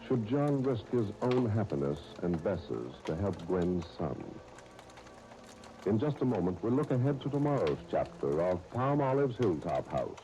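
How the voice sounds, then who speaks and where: Mellow 1930s American radio announcer, man. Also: lowish voice, sing-song, unhurried. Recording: noisy 1930s radio disc recording.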